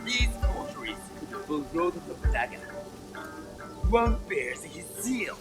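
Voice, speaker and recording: An actor playing a cocky small-time forger in a video game, wheedling and dismissive. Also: male voice, high-pitched, sing-song, a little slow, over loud music, full character voice. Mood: scheming. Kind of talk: video game cutscene acting.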